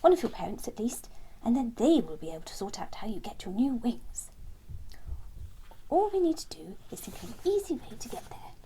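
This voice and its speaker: A woman voicing a confident, purposeful male animal in a children's storybook, brisk and emphatic. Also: on the high side, big pitch swings, dark tone, in a whisper, voice pushed up, low-quality recording.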